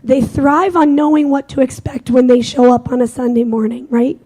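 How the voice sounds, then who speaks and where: A young woman with a squeaky voice giving an energetic, conversational talk to a live audience at a conference. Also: normal speed, breathy edge. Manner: energetic, conversational, self-deprecating. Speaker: conference speaker.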